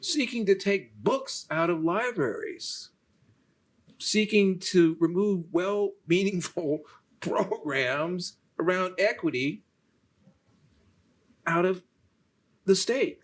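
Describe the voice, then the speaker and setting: A soft-spoken man, lecturing and answering questions in a halting way, with many restarts. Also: high voice. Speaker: featured lecturer in a Q&A. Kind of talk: unscripted lecture conversation.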